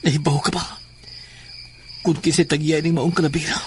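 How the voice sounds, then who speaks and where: Horror serial: actor as intense commanding man, slightly high voice, dramatic, insect noise behind. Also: flat delivery, moderate pace, a little mellow, close to an everyday voice. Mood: ominous. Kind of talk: horror audio drama acting. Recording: noisy, insects chirping behind.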